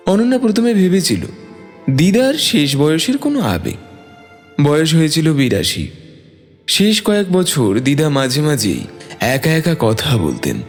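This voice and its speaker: A man's voice: a little high, big pitch swings, unhurried. A man reading a scripted story as dramatic narration. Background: soft background music.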